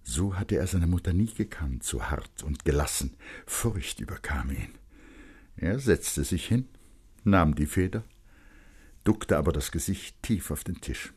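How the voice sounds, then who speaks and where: Deep, husky male literary narrator. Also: expressive, a little slow, a little mellow.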